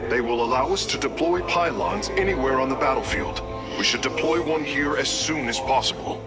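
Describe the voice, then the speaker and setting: A man playing an earnest, deferential alien engineer in a video game, speaking in a formal, measured, technical way. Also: mid-range voice, expressive, over music, close to an everyday voice. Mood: focused, informative. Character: earnest, deferential male alien engineer serving his commander. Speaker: engineer and battle advisor.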